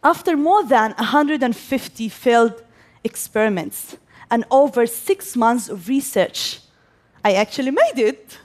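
Slow, deliberate young woman from Gaza. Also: fairly high pitch, animated. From Gaza, Palestine. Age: young adult. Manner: slow and deliberate, with pauses between phrases. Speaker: speaker giving a talk. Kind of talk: prepared speech to an audience.

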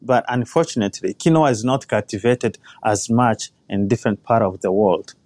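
A man, a crop scientist giving an earnest, measured talk about his own life. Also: fairly low pitch, a little mellow, no room sound. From Rwanda. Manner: earnest, measured, personal storytelling. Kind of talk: prepared talk.

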